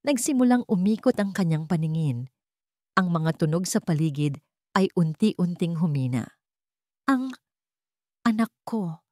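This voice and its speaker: A woman's voice: fairly low pitch, moderate pace, mellow, no echo, clean studio sound. A woman reading a scripted dramatic story as its narrator.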